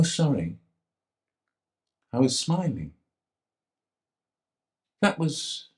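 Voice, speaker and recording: An actor playing a sly, self-pitying jailed man who swings between hushed confiding and sudden outbursts. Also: medium pitch. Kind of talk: dramatic monologue to a visitor. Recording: echoey room.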